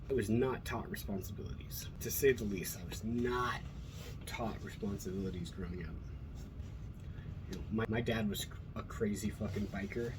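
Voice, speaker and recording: A middle-aged man from Colorado with an American accent, talking in a casual, rambling monologue with an earnest, blunt, freely swearing manner. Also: medium pitch. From Colorado, USA. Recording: noticeable background noise.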